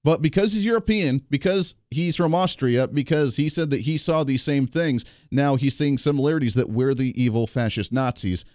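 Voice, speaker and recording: A male radio host delivers political commentary in an opinionated, sarcastic way, tossing in quick asides. His voice sits at a middle pitch. The recording is dry and close, with no room sound. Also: darkish tone, no room sound. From Kansas area, United States. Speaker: radio talk show host.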